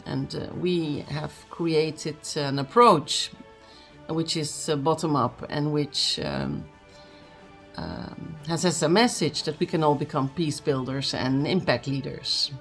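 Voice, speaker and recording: An earnest speaker gives a motivational message, pausing often with fillers and restarts, at a mid-range pitch. Faint music sits behind the voice. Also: animated, vocal fry. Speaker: speaker at a book launch.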